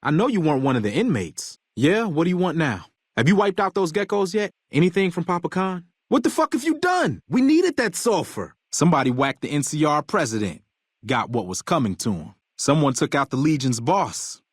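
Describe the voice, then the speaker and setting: A husky, dry-sounding American gang boss from a video game. He is a bitter convict bomber with a short temper. He talks gruffly, swears freely and gives orders like someone used to command. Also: a little high, sing-song, fairly quick, no room sound. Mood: hostile, angry, then wary. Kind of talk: video game character dialogue.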